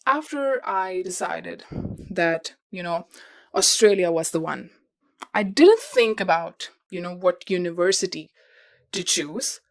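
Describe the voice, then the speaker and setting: A woman's voice: lowish voice, animated, a little slow, vocal fry. A young woman hosting a podcast who explains things step by step in a repetitive way and talks straight to her listeners. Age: young adult. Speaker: podcast host. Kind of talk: advice talk.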